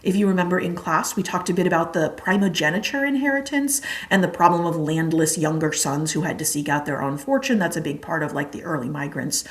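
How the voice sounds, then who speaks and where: Young woman teacher going over exam stuff, low voice, lots of likes and ums. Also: animated, a little fast, few pauses. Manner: chatty, many fillers like "like" and "um". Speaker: history teacher. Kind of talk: exam review lecture.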